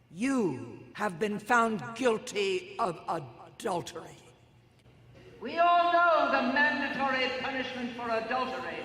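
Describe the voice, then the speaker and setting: A woman playing a stern, sanctimonious matron in an audio drama, declaiming pompously and deliberately as she presides over a grim ceremony. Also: a little high. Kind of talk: theatrical audio drama oration. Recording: echoey large space, long reverb.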